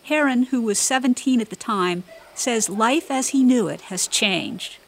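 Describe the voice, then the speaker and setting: A woman radio reporter narrating a scripted news feature in an even, clear reading voice. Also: on the high side, unhurried, a little hiss or hum.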